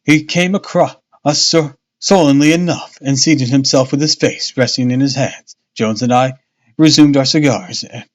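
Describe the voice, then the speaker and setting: A man's voice: medium pitch, moderate pace, muffled recording. A man casually narrating a novel on a livestream, stumbling, rereading and dropping jokey asides. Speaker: streamer reading a novel aloud. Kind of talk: casual live storybook narration.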